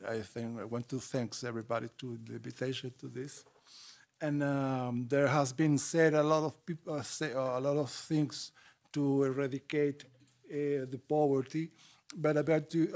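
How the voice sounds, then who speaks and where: Halting Spanish-accented man on a panel. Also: medium pitch, little pitch movement, darkish tone. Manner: halting, repetitive, non-fluent English. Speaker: panel speaker. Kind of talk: impromptu remarks at a public panel.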